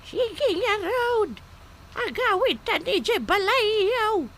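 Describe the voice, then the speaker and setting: Audio drama role: suspicious old Ilocano auntie, pinched sharp voice, extremely high, querulous. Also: female voice, expressive, extremely slow, full character voice. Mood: suspicious, probing. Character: forgetful, suspicious old aunt, blunt and teasing. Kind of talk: regional audio drama acting.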